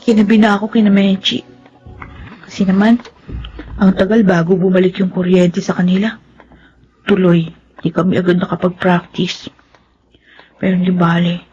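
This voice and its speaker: A woman's voice: on the low side, flat delivery, normal speed, long pauses, darkish tone, muffled recording, soft background music, natural voice. A woman playing a proud, guarded young woman in a Filipino radio drama, with a firm, protesting manner. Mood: mildly worried.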